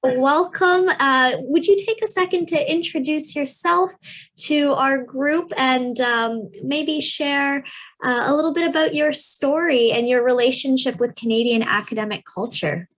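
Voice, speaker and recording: A woman's voice: sits high, unhurried, a bit bright. A woman moderating a student panel, friendly and apologetic, with many ums. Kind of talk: panel discussion.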